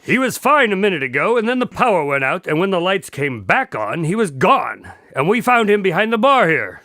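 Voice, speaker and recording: An animated, earnest radio drama sidekick, easily flustered. His voice is high for a man and bright, swinging widely as he talks, in an excitable but genial way. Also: a little fast, dry recording, clean studio sound, exaggerated voice. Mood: puzzled. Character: excitable, genial male party host and detective's sidekick.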